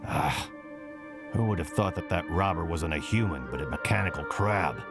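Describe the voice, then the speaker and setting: A put-upon guard in a fantasy game, very deep-voiced. He grumbles wearily with big swings in tone, over music. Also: lively intonation, moderate pace. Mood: exasperated. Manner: complaining, emphatic. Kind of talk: video game voice acting. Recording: music playing behind the voice.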